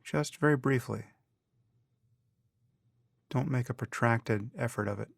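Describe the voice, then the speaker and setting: A man leads a guided meditation in a calm, measured way. He speaks very slowly in short phrases separated by long pauses. His voice carries a creaky fry and sounds close and dry, with no room around it. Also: medium pitch, dry recording. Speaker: meditation teacher.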